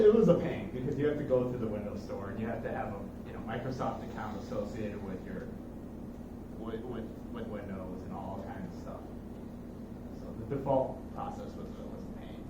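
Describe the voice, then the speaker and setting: A man's voice: on the low side, normal speed. A man speaking casually about technical matters like an instructor, repeating and rephrasing himself as he goes. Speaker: course instructor. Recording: noisy echoey room, far from mic.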